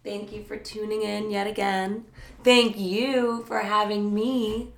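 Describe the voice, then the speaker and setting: A young woman guesting on a casual podcast, loud and excitable, talking in a stream of consciousness. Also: medium pitch, takes it slowly, gravelly. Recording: echoey room.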